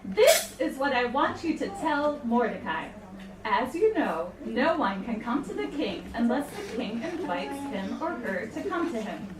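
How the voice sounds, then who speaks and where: A woman's voice: a little high, big pitch swings, measured pace. A woman playing an earnest, hesitant but resolute young biblical queen in an amateur puppet show, with theatrical scripted delivery. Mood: solemn, resolute. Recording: echoey room, distant mic, clattering noise.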